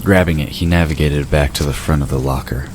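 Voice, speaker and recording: Extremely deep-voiced male narrator. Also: steady tone, brisk. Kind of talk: scripted audio drama narration. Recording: noisy background of ambience effects and vehicle sound.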